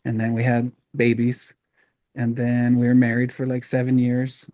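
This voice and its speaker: A middle-aged man from Hawaii, a podcast guest telling his life story in a relaxed, rambling way with many fillers. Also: mid-range voice, moderate pace, no echo, clean studio sound. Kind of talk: casual storytelling interview.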